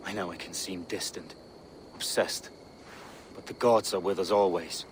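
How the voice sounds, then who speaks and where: Actor as devout male Viking seer, lowish pitch, solemn, deliberate, intense, game drama, faint background noise. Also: fairly even intonation, lightly acted. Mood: haunted, reverent. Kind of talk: dramatic video game cutscene dialogue.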